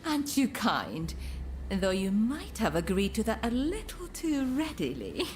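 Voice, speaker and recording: An actress playing an elegant, teasing courtesan in a video game cutscene, with refined, coquettish phrasing. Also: female voice, fairly high pitch, huge pitch swings, unhurried, darkish tone, slight background noise. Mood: teasing, grateful. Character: elegant, coy, teasing courtesan woman with powerful connections.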